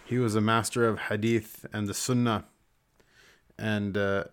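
A man with a fairly low voice gives an informal lecture as an Islamic teacher. He moves haltingly through his material, wandering into scholarly asides as he explains religious history. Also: level tone, clear, resonant tone.

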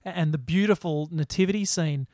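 A man's voice: fairly high pitch, brisk, a little mellow, dry recording, clean studio sound. A man hosting an interview, asking thoughtful, searching questions. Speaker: podcast host.

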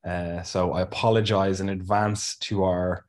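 A man's voice: low-pitched, level tone, measured pace. A man co-hosting a podcast, talking casually with a blocked nose that makes him sound nasal. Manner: chatty, restarts, frequent "you know" and "like". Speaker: podcast co-host.

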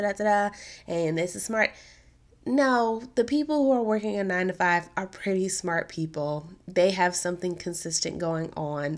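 Slightly raspy, chatty woman podcast host. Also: fairly low pitch. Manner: chatty, upbeat, informal slang. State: raspy after allergies. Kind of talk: casual solo podcast talk.

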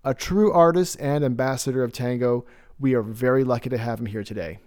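A man's voice: mid-range voice, fairly quick, a little mellow. A man hosting a podcast, reading a scripted guest introduction and asking interview questions. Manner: scripted introduction, then brief backchannels. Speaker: podcast host.

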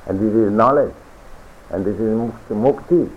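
A man giving a philosophical sermon as a spiritual teacher, lecturing deliberately, repeating phrases and reciting scripture. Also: lowish voice, a little hiss or hum. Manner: deliberate, repetitive lecturing with chanted scripture quotes. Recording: tinny lo-fi archival recording.

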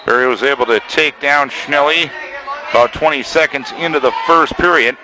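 A man giving live radio play-by-play commentary from a school gymnasium, with crisp factual updates on the clock and score. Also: on the high side, moderate pace. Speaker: play-by-play sports announcer. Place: high school gymnasium during a live meet. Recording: very noisy live gymnasium crowd recording. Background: loud crowd and gym noise.

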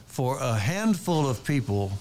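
An American man hosting casual political talk radio, speaking in a measured, deliberate way. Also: mid-range voice, very animated, a little mellow. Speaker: radio host. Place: radio studio.

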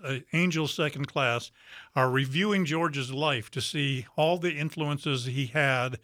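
A man's voice: on the high side, sharp tone, no echo, clean studio sound. A man explaining things as a theater guest in a radio interview.

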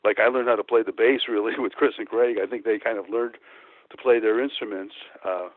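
A man's voice: lowish voice, steady tone, brisk, no echo. An older man who grew up in Chicago, a musician guest reminiscing casually in an interview, talking in a hesitant, rambling way. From Texas-born, grew up in Chicago. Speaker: guest, former band musician. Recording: tinny phone line, narrow band.

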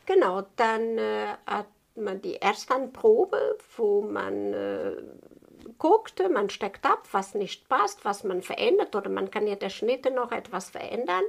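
A woman in her fifties with a Portuguese accent and a noticeably creaky voice. She explains her craft in an unscripted interview, speaking rather slowly and haltingly as a native Portuguese speaker searching for words. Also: mid-range voice, wildly swooping pitch. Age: 50s. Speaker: tailor being interviewed.

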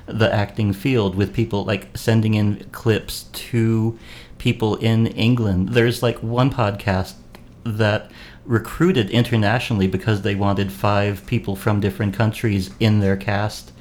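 A man from Maine chatting casually as a podcast guest host, unhurried and rambling with fillers. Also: on the low side, level tone, a little mellow, slight background noise. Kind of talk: casual chat.

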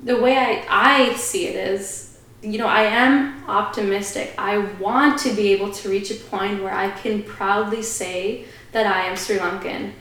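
A woman's voice: medium pitch, steady tone, measured pace, fairly bright tone. A woman speaking as a podcast guest, giving earnest, reflective opinions in conversation. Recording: very echoey room.

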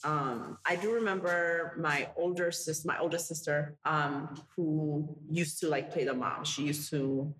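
A woman's voice: deep voice, steady tone, a little slow, hardly any pauses, fairly bright tone. A woman in her thirties, born in Ethiopia and raised in Harlem, telling her story as a podcast guest in a hesitant, reflective way with many fillers. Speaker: guest, school leader. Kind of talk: podcast interview. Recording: echoey room with clear background noise.

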